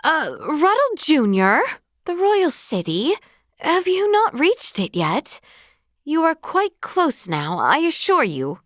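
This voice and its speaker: A woman's voice: high-pitched, wildly swooping pitch, slow, dry recording, studio quality, heavily acted. A woman voicing a gracious, earnest young royal lady in a fantasy video game, speaking in formal, courtly, old-fashioned phrasing. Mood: courteous, concerned. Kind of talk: scripted video game voice acting.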